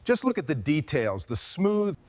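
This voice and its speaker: A man's voice: fairly high pitch, wildly swooping pitch, moderate pace, darkish tone. A man hosting a restoration video, giving hands-on workshop commentary.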